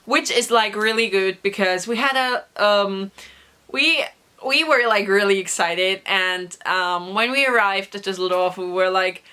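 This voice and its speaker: A young woman vlogger with a hoarse voice from a recent cough, chatting through an excited story with many fillers. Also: mid-range voice, a little slow. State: hoarse, recovering from lost voice. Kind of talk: casual storytelling vlog.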